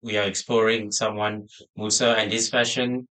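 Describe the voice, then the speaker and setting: Low-voiced male podcast host, halting. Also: flat delivery, pushed and bright, low-quality recording. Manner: halting questions with fillers. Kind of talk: interview hosting.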